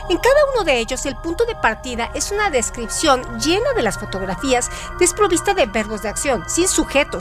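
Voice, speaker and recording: A woman presenting on radio, reading a scripted literary essay in a formal, didactic way. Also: high-pitched. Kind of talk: scripted literary essay narration with question hooks. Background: background music.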